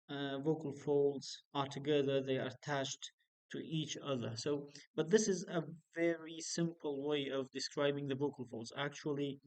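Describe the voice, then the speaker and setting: A man's voice: a little high, darkish tone, smooth, poor audio. A man giving an online phonetics lecture, hesitant and full of fillers and restarts. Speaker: university lecturer.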